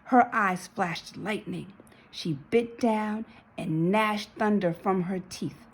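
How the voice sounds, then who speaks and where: A woman's voice: medium pitch, takes it slowly, breathy edge. A woman reading a children's storybook aloud as narrator, in a dramatic, emphatic storytelling manner. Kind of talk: expressive children's read-aloud.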